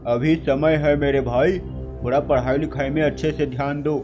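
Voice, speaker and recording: A man's voice: on the high side, steady tone, normal speed, darkish tone, close to an everyday voice. A man voicing an earnest elder brother, a village farmer, in cartoon dialogue. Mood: earnest, chiding. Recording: music behind the voice.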